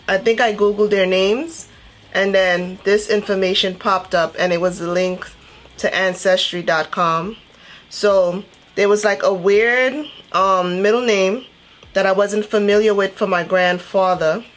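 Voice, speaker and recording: A woman from Trinidad and Tobago chatting casually as a beauty vlogger, telling a personal story in an animated, conversational way. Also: mid-range voice, measured pace. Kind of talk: casual chatty storytelling while doing makeup.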